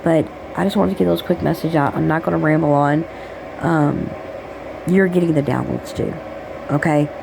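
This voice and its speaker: Gravelly raspy woman channeling spirit messages. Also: deep voice, normal speed, background noise. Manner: rambling, affectionate, repeats "okay?" and "um". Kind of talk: casual spiritual message monologue.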